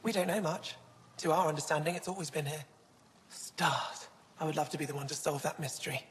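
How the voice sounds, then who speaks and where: Woman playing low-voiced young alien curator, reverberant. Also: brisk, lightly acted. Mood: wistful, hopeful. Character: young alien female museum curator, earnest, scholarly, wistful. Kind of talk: video game dialogue. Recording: long hall reverb.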